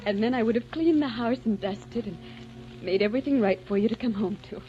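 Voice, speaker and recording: An actress playing a tender, devoted young woman in a doomed romance, with soft, wistful delivery in 1940s radio drama style. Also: on the high side, a little fast, natural voice. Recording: dull old radio recording, soft music behind.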